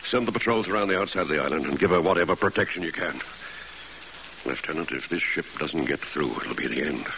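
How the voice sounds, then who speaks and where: An actor playing a stern, urgent naval base commander giving clipped orders in 1940s radio drama style. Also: male voice, very deep voice, fast talker, vocal fry. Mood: urgent, grave. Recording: hissy vintage radio recording.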